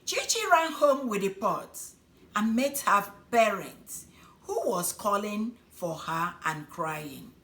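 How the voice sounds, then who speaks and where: A woman telling a folk tale as a storyteller, in a dramatic, expressive manner. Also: medium pitch, slow pace. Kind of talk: scripted folk tale narration for children.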